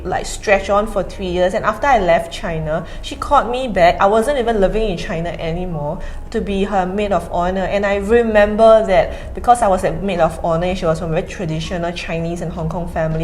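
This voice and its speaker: A woman in her thirties from Singapore with a Singaporean accent, telling lively personal stories on a panel. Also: mid-range voice, normal speed, few pauses, slight background noise. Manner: lively anecdotes with fillers. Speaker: panel speaker. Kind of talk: storytelling in a panel talk.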